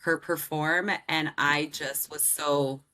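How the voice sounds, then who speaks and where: A woman in her thirties from Southern California, a singer guest chatting casually in an interview, animated and laughing often, with a loud, strong voice. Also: low voice, slow.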